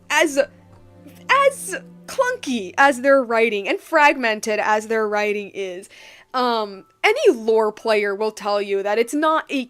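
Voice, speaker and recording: A woman with a fairly high voice talks casually as a game streamer, with faint music behind her. She rambles through unscripted commentary, leaning hard on key words and repeating phrases for emphasis. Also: fairly bright tone.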